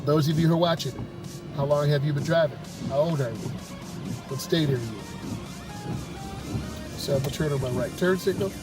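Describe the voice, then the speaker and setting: Guy with a cold narrating his drive, calm and slow, kinda distant from the mic, loud music over it. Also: fairly high pitch. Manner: calm, unhurried, explanatory. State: stuffed up with a cold. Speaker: driving instructor. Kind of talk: narrating while driving. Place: inside a car in traffic.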